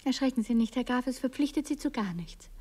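A woman's voice: high voice, animated, fast, darkish tone. An actress in a German radio play, a woman playing a vain, capricious stage star who teases and mocks with melodramatic airs. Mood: grand, flirtatious. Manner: teasing, imperious, melodramatic. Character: capricious, vain, theatrical actress, seductive and mocking.

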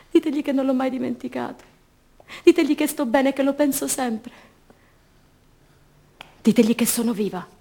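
A woman's voice: on the high side, vocal fry, darkish tone, slight background noise. An actress playing a sorrowful young disappeared mother longing for her son, in short dreamlike phrases in a radio drama monologue. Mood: pleading.

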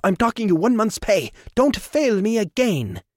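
Man putting on a pompous boss, high. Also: big pitch swings, moderate pace, darkish tone, no room sound, studio quality. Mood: haughty. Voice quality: pompous, clipped, scolding. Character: haughty British male ship owner, pompous.

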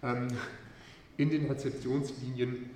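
A man's voice: medium pitch, little pitch movement, takes it slowly, darkish tone, a little hiss or hum. A male university lecturer with a cold gives an academic lecture, hesitant and full of filler sounds. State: has a cold. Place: lecture hall. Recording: echoey lecture hall from far off.

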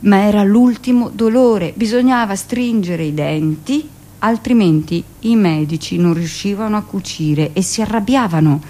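A woman's voice: mid-range voice, normal speed, dark tone, natural voice. Confiding hardened midwife, acted by a woman. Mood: matter-of-fact, defensive. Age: middle-aged. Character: hardened middle-aged hospital midwife, matter-of-fact about cruelty, confiding. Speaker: actress performing a radio monologue. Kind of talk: dramatic first-person theatrical monologue.

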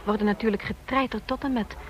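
An actress playing a thoughtful, intuitive office colleague, earnest and a little hesitant, in a radio play. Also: female voice, fairly high pitch, fairly even intonation, fast, dull audio, natural voice. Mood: thoughtful, opinionated. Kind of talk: Dutch radio play acting. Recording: noisy old radio play recording.

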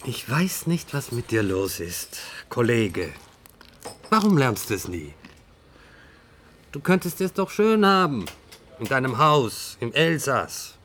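An actor playing a middle-aged, officious police detective in a radio drama, speaking earnestly and with reproach. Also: male voice, mid-range voice, sing-song, takes it slowly, long pauses, fairly bright tone, a little hiss or hum. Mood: weary reproach. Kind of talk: naturalistic radio drama dialogue.

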